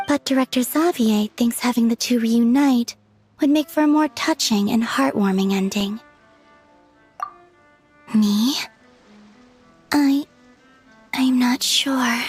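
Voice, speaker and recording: A woman voicing a gentle young woman in a video game who speaks softly and hesitantly, sometimes drifting into a whisper. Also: a little high, slow, long pauses, soft background music. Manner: soft and hesitant, trailing into whispers.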